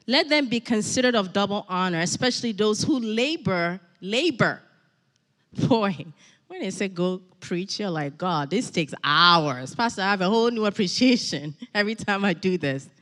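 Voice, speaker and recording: A middle-aged woman preaching a sermon, animated and emphatic, repeating key phrases and breaking into personal asides. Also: mid-range voice, normal speed, in a large hall. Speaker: guest preacher.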